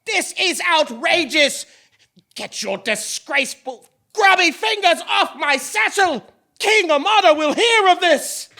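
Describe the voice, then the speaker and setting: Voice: very high-pitched, animated, a little slow, sharp tone, full character voice. A performer playing a pompous, indignant royal tax collector in a comic stage audio play, proclaiming with theatrical haughtiness. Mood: outraged, haughty. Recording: live theatre stage recording.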